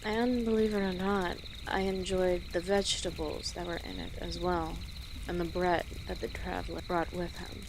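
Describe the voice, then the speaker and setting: A woman playing an easygoing, friendly female orc travel guide in an audio roleplay, speaking gently and conversationally to the listener with pauses. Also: lowish voice, flat delivery, noisy background, close to an everyday voice. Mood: fond, chatty. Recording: outdoor nature ambience with birdsong behind. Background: birds and rural outdoor ambience.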